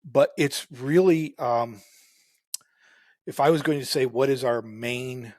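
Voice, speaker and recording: Farmer talking easily, dry close sound. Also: male voice, mid-range voice, a little mellow, no echo. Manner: unhurried, many fillers and restarts. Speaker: farmer guest. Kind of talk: casual interview talk.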